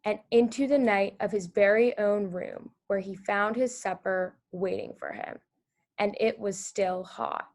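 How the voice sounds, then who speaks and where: A woman hosting a read-aloud for children over a video call, reading the story and asking them gentle questions. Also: mid-range voice, steady tone, unhurried. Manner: gentle, encouraging, with small restarts.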